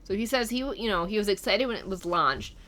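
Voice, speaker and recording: A woman's voice: medium pitch, lively intonation, a little fast, fairly bright tone. A middle-aged woman hosting casually, reading comments aloud and musing over them in a conversational way. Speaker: guest host of a gaming channel reading viewer comments.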